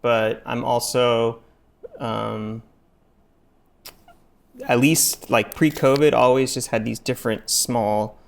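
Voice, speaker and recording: A middle-aged man who grew up in Taiwan, a chef talking in a relaxed, deadpan way with many fillers during a casual interview. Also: mid-range voice, slow, vocal fry, long pauses. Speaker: chef and restaurateur guest.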